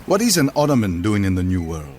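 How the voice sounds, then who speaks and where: A man's voice: sits low, very animated, fast talker, darkish tone, slight background noise. A man playing a smug, greedy conquistador commander with a Spanish accent in a video game cutscene.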